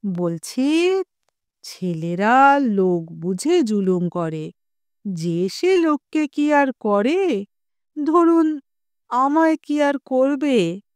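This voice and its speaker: A woman voicing a calm, suave gentleman neighbour in an audio story, softly spoken and gently mocking. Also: high voice, extremely slow, long pauses, smooth, no echo, clean studio sound, full character voice. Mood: slyly amused. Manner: unruffled, soft, gently mocking.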